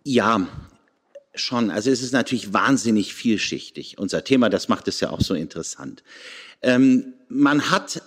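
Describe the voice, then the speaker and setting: A man's voice: fairly low pitch, lively intonation, normal speed. A man speaking reflectively as a panel guest, hesitantly searching for his phrasing. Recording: long hall reverberation.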